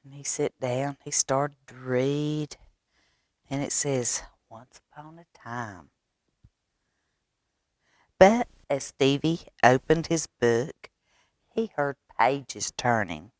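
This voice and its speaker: A woman reading a picture book aloud to children in a dramatic, expressive way, drawing out words. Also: rumbling low, no echo, studio quality. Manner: dramatic, expressive, with drawn-out words and hushed asides. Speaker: library storyteller reading to children. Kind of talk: animated picture-book read-aloud with sound effects.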